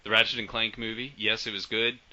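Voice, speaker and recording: A man hosting a casual podcast, enthusiastic and swearing often, trailing off quietly at the ends of sentences. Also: lowish voice, level tone, fairly quick. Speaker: podcast host. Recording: dull lo-fi audio.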